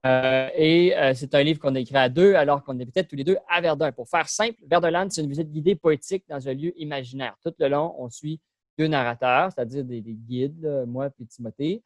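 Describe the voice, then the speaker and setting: A man's voice: fairly high pitch, brisk, no echo, clean studio sound, faint music behind. A man presenting his poetry book, hesitant and full of fillers. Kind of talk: casual presentation.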